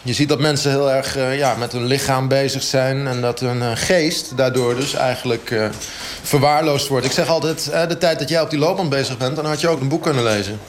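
A man playing a blunt, oblivious fitness coach who explains things haltingly and matter-of-factly in a mock radio interview. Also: medium pitch, steady tone, slight background noise, lightly acted. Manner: halting, hesitant, matter-of-fact.

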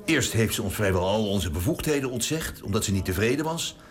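An actor playing a cynical government minister in exile, sarcastic and conspiratorial in a radio drama. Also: male voice, fairly low pitch, lively intonation, brisk, background noise, lightly acted. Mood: scheming. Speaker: cabinet minister in wartime exile.

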